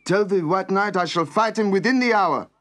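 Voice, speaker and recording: A man's voice: fairly high pitch, big pitch swings, measured pace, pitched above the performer's own voice, exaggerated voice. A man voicing a dutiful, willing knight in a storybook legend, with declamatory delivery.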